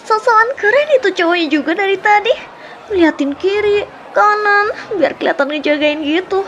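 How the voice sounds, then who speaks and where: A woman's voice: very high voice, animated, moderate pace, a bit bright, a little hiss or hum. A woman playing a scornful, catty young clique member gossiping in slangy audio roleplay.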